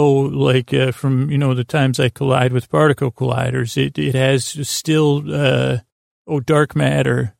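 Super creaky guy doing a rambly sleep podcast, keeps wandering off and restarting, dry close sound. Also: medium pitch, a little mellow, no echo. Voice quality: creaky, gravelly. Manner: meandering, halting, self-interrupting. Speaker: podcast host.

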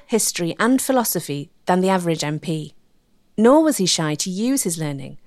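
A woman with a mid-pitched voice narrates a documentary. Her delivery is measured, scripted and articulate, in a very dry recording. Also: darkish tone, dry recording.

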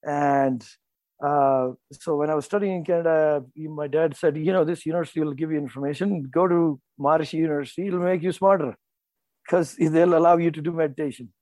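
A man's voice: on the high side, a little mellow, dry recording, low-quality recording. Indian man in his forties, rambling. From North India. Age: 40s. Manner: rambling, self-correcting, explanatory. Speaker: guest, farmer and entrepreneur. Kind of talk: casual interview talk.

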